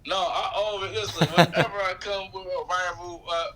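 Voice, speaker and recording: A man's voice: high voice, steady tone, normal speed, bright, forceful tone. An American man chatting casually as a podcast guest, using slang and repeating phrases for emphasis. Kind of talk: casual chat.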